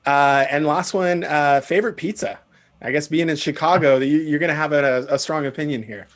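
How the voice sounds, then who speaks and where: A man's voice: fairly high pitch, normal speed. A man hosting an interview, asking friendly questions and giving brief acknowledgements. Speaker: podcast host. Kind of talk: interview host.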